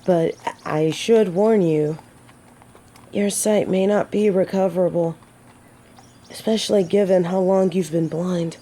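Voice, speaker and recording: A woman's voice: lowish voice, steady tone, a little slow, dark tone. A woman playing a gallant, teasing yet earnest female knight in a romantic fantasy audio drama, with formal, old-fashioned phrasing. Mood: concerned, sincere.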